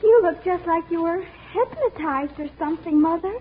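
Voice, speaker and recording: An anxious young daughter in a very high, girlish female voice. She sounds tender and concerned in a vintage radio drama, through a thin, tinny recording. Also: moderate pace, a little hiss or hum. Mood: worried. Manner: pleading, concerned. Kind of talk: 1940s radio acting.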